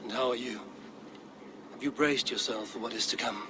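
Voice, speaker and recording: An actor playing a steady Viking clan leader with a dry, wry and firm manner. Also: male voice, mid-range voice, moderate pace, natural voice. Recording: game cutscene with ambient noise.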